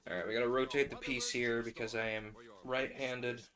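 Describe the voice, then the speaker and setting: A man's voice: medium pitch, flat delivery, moderate pace, fairly bright tone. A man chatting casually as he works on hand sewing, rambling along with wry, playful asides. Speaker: livestream host.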